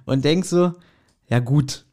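A man of about forty with a voice a little higher than average. He chats casually as a podcast co-host, spinning anecdotes with playful teasing and put-on indignation. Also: takes it slowly, mellow. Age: around 40. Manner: teasing, mock-indignant storytelling. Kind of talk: casual chat.

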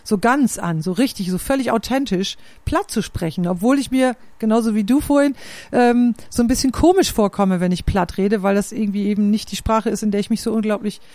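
A woman's voice: mid-range voice, brisk, darkish tone, dry recording. A woman, hosting a casual conversation and thinking aloud, often searching for words with many fillers. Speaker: podcast host and singer.

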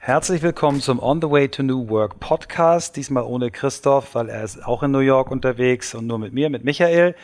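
A man's voice: medium pitch, moderate pace, hardly any pauses, darkish tone, soft background music. A man hosting an interview podcast, talking in a friendly, enthusiastic way. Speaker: podcast host.

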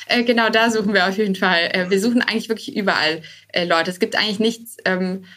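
A woman with a medium-pitched voice talks as a guest in a conversational interview. She is a startup co-founder who speaks informally, feeling her way through sentences and dropping in English business jargon. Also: steady tone, quick pace, a bit bright.

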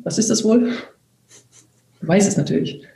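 A woman in her fifties from eastern Germany talks through ideas in a slow, earnest way, often restarting her sentences. Her voice is dark and mellow, at an ordinary pitch for a woman. The room around her adds a noticeable echo. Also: gravelly. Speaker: actress and talk host. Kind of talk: reflective conversation. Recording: roomy echo.

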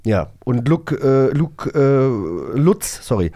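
Mid-pitched man, casual podcast co-host. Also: big pitch swings, slow, warm tone.

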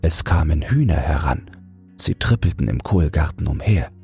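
A man with a soft, breathy voice narrating a fairy tale as a bedtime audiobook. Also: a bass voice, sing-song, normal speed. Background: soft music.

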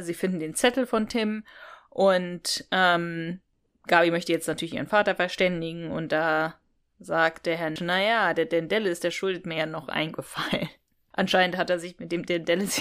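Woman, lowish pitch, casual podcast discussion, colloquial, questioning, very dry acoustic. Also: a bit bright, no echo. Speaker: podcast co-host. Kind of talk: casual discussion of an audio drama.

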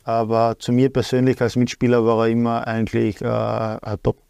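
An Austrian man in his thirties talks as a guest, stopping often with fillers and starting his sentences over. His pitch stays flat and even. The sound is close and dry. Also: moderate pace, creaky, smooth, no echo. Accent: Austrian German. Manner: hesitant, many fillers and restarts. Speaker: guest, sporting director. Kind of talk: casual talk show chat.

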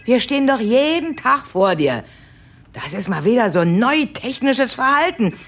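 A woman playing a gossipy, huffy old-school office worker with a husky, needling delivery in an audio drama. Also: on the high side, very animated, moderate pace.

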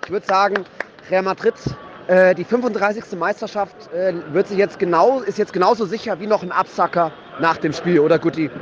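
A man's voice: high-pitched, fairly quick. A man giving a casual, chatty football report on site from a stadium, informal and joking. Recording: dull recording amid stadium crowd noise. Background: crowd and outdoor noise.

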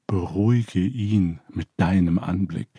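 A man's voice: low-pitched, slow, dark tone, breathy edge, no echo, studio quality, full character voice. A man reading as Ea, a wise father god. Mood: counseling. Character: Ea, wise male father god, calm counselor.

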